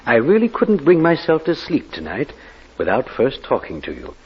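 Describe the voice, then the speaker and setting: An actor playing an ailing elderly reverend, earnest and anxious, in 1940s radio drama style. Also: male voice, medium pitch, huge pitch swings, moderate pace, husky, heavily acted. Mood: earnest, welcoming. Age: older. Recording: muffled old radio, faint noise.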